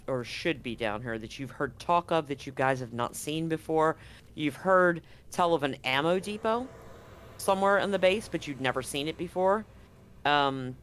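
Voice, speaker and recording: A man narrating as game master of a tabletop horror game in a relaxed, chatty way. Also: fairly high pitch, clean tone. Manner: relaxed, chatty, hums sound effects.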